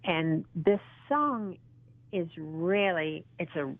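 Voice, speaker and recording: Low-voiced woman in her sixties on a phone line. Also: animated, dry recording. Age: 60s. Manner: halting, with frequent ums and restarts; earnest and encouraging. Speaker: interview guest, model and actress. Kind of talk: casual phone interview.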